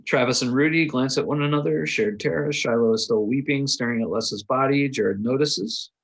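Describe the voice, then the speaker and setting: Male narrator for script directions, medium pitch, a little rasp, reading aloud. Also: moderate pace. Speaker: reader of stage directions at a table read.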